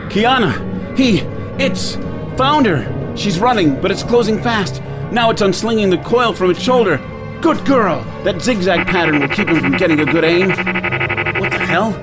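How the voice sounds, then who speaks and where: An intense pulp outlaw hero, music behind. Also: male voice, high voice, expressive, a little slow. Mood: frantic. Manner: intense, theatrical. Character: desperate outlaw hero on Mars recounting his ordeal. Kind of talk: dramatic first-person pulp narration. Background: dramatic music and soundscape.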